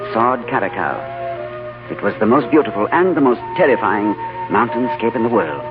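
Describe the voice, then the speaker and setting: A man plays a calm, urbane adventurer in an old radio play, reflective and eloquent. His mid-pitched voice moves in lively swells. It comes through a thin, tinny recording with music behind. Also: lightly acted. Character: calm, urbane, philosophical diplomat-adventurer telling his tale. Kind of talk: 1940s radio acting and dramatic narration.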